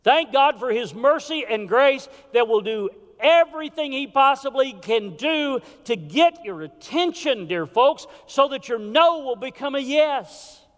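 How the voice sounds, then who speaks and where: A man preaching a sermon in a church, swinging from fervent calls to hushed, low warnings. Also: animated, unhurried. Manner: impassioned preaching with sudden drops to a hush. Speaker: pastor. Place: church sanctuary. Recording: hall reverb.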